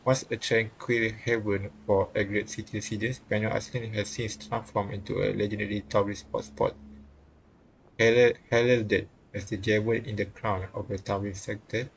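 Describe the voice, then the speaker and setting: A man's voice: fairly low pitch, flat delivery, normal speed, gravelly, a little hiss or hum, poor audio. A man streaming a visual novel, reading the game text aloud and often stumbling and rereading phrases.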